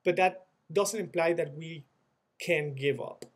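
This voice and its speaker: Venezuelan man, 30s, fairly high voice; reflective video message, earnest, restarts often. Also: a little slow. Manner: earnest, reflective, with frequent repeated words and restarts. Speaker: former student-organization leader sharing experience.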